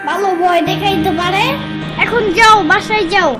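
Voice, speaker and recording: A woman voicing a small, cheeky, easily spooked child in a comic cartoon, quick to scold back. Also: very high voice, steady tone, over loud music. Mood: sassy, scolding.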